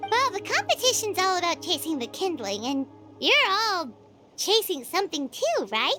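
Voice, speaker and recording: A woman voicing a small, excitable, childlike fairy girl in a video game, with a squeaky, exclamatory delivery. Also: normal speed, soft background music, full character voice. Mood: chatty, excited. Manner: exclamatory, speaks of herself in third person.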